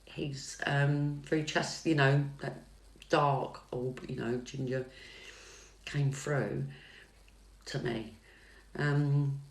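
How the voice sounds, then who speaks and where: A middle-aged woman, a psychic tarot reader chatting on a livestream and answering comments in a soft, calming voice, hesitant with many fillers and restarts. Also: extremely low pitch, drawn-out words, pauses often. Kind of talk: casual livestream chat answering viewer comments. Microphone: phone livestream mic.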